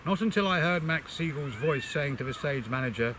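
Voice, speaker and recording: An older man with a slightly high voice reads in a literary, expressive style. His phrasing is measured and dramatic. The home recording carries a clear, steady buzzing noise behind him. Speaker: amateur reader introducing and reading a book extract. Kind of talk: expressive literary reading aloud.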